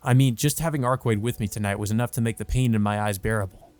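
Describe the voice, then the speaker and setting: A man's voice: fairly low pitch, fast talker, darkish tone, smooth, dry recording, close to an everyday voice. A man voicing an earnest young male student who narrates his thoughts in a visual novel. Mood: sincere. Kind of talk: visual novel monologue read aloud.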